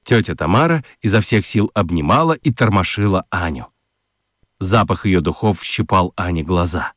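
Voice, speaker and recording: Animated male children's story narrator. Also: low voice, moderate pace, dry recording, clean studio sound.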